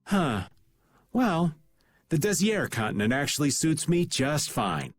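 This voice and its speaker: A man voicing a laid-back, wry middle-aged rogue in an animated role-playing video game. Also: on the high side, unhurried. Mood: wry, casual. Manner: casual, wry, refers to himself by name. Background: faint background music.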